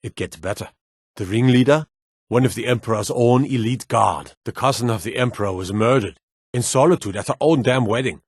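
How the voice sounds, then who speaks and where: A man's voice: medium pitch, brisk, darkish tone, slightly rough, no echo, studio quality. An actor playing a wary, plainspoken male city guard in a fantasy video game, with a stern, folksy way of talking. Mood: grave. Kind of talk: scripted fantasy game dialogue.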